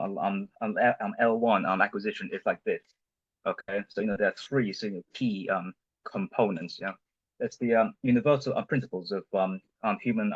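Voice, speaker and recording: A low, husky male voice, a native Chinese speaker, lecturing in a halting way full of "um" and "you know". The sound is dry and close, with a dull, lo-fi video-call quality. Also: no echo. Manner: halting, heavy with fillers. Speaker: conference presenter. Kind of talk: academic lecture.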